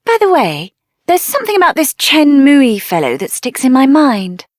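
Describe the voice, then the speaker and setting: An actress voicing a spirited, headstrong young woman adventurer in a video game, expressive and earnest, with a tender side. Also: sits high, moderate pace, no room sound, studio quality. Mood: curious, suspicious.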